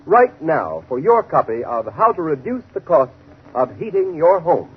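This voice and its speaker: A high-pitched male radio announcer from the 1930s, lively and emphatic as he delivers formal scripted copy. The old recording is thin and tinny, with a little noise. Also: moderate pace.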